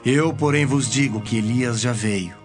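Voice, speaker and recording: Guy acting solemn authoritative teacher in a bible audio drama, brazilian accent, measured, music behind. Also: medium pitch, close to an everyday voice. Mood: solemn teaching.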